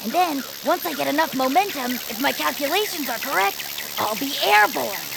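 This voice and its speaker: A woman's voice: high-pitched, animated, moderate pace, creaky, noisy background. A woman playing a plucky, dreamy young female bird inventor in a family audio drama. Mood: eager, insistent. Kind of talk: family audio fiction acting.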